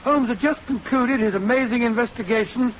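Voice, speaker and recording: Genial old English doctor in 1940s radio mystery: high voice, blustery, halting, dull vintage sound. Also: male voice. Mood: genial storytelling. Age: older. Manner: hesitant, blustery, avuncular. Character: Doctor Watson, genial, bumbling older English gentleman. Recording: muffled, noisy 1940s radio recording.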